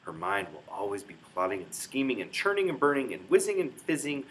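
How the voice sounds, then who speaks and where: A man's voice: medium pitch, sing-song, a little fast, rough recording. A man reading a children's book aloud in a dramatic, emphatic storytelling manner. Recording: clear background noise, room ambience.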